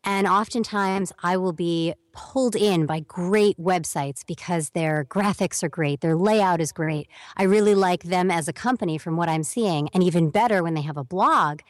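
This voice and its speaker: A woman's voice: on the low side, moderate pace, few pauses, no room sound. A young woman speaking as a guest on an interview podcast, explaining at length in a chatty way and acting out quoted messages. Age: young adult. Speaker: voiceover talent guest.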